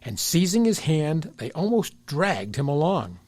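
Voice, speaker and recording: A man's voice: a little high, very animated, a little slow, a little mellow, dry recording. A man narrating a children's storybook tale as part of an audio drama.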